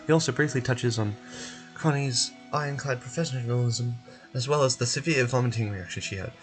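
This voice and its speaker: A man's voice: medium pitch, a little fast, soft background music. A man reading video game narration aloud as a streamer voicing over a quest.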